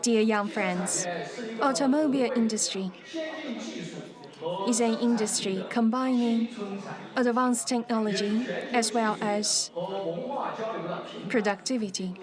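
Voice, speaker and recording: High-voiced woman interpreter, loud crowd behind. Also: slow pace, pauses often. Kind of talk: formal speech delivery in English. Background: loud crowd sound.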